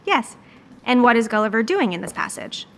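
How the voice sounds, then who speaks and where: An actress playing an earnest American volunteer reading teacher in an audio drama, instructive and sincere. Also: female voice, fairly high pitch, very animated, normal speed. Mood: encouraging.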